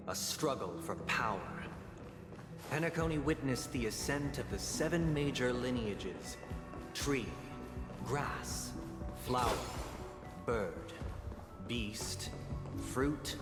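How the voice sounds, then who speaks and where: An actor playing a solemn, formal storyteller who narrates a grand allegorical history in a video game. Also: male voice, mid-range voice, close to an everyday voice. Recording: echoey hall reverb under loud music.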